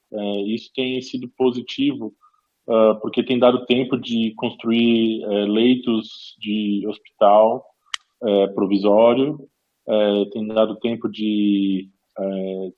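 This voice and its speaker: A Brazilian doctor, a man whose first language is Portuguese, speaks haltingly in a video conference and repeats words as he goes. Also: fairly low pitch, lo-fi sound. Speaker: foreign doctor asking a question.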